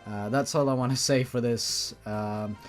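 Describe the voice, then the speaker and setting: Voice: mid-range voice, steady tone, a little slow, soft background music. A host giving casual comedic reaction commentary on amateur fiction, melodramatic and exasperated, swearing freely. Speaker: host of a fan fiction reading series.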